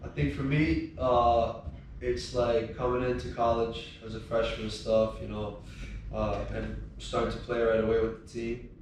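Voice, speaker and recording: A young man born in Serbia, a college freshman guest, talking in a relaxed, easygoing way. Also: medium pitch, normal speed, distant mic, slight background noise. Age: young adult. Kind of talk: casual chat. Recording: echoey room from afar with music.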